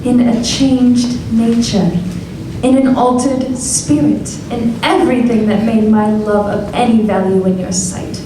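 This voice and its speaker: An actress playing a sad, resolute young woman ending an engagement, speaking in a measured way in a live radio play. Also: on the high side, steady tone, noisy background, close to an everyday voice. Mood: sorrowful. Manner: measured, sorrowful. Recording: echoey hall recording from far off.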